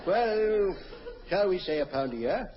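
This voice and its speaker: A brisk, blustering official in vintage radio comedy, dry and condescending. His intonation moves widely. The recording is muffled and has clear noise. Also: high-pitched, lively intonation, crowd noise. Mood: dry, dismissive. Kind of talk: 1950s radio comedy acting.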